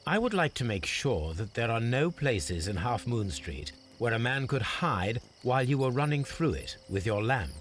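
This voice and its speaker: An actor playing a formal, precise gentleman detective with a British accent in a video game. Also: mid-range voice, big pitch swings, normal speed, hardly any pauses, slight background noise, close to an everyday voice. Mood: instructing. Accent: British English. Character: brisk, commanding male gentleman detective.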